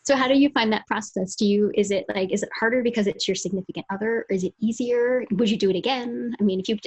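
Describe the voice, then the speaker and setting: A woman's voice: mid-range voice, fast talker, a little mellow, breathy edge. A woman co-hosting a casual podcast conversation over a video call. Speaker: podcast co-host. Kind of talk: casual chat.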